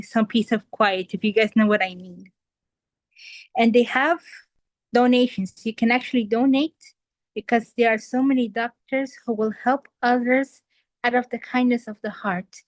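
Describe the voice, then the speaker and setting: A woman's voice: a little high, fairly even intonation, normal speed, dry recording. A young woman from Kuwait giving an earnest impromptu speech that addresses the audience directly. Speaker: impromptu speaker. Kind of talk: impromptu table topics speech.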